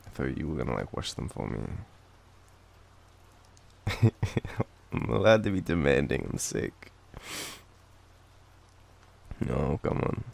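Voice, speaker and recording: A young Australian man with a cold, coughing now and then, murmuring softly and teasingly in an intimate boyfriend roleplay. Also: a bass voice, sing-song, slow pace, vocal fry, pauses often. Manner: soft, drowsy, teasing murmur.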